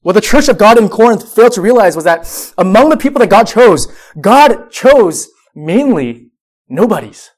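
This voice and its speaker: High-voiced young man preaching. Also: very animated, moderate pace. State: sniffling with a cold. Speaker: youth pastor. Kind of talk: sermon to a high school group.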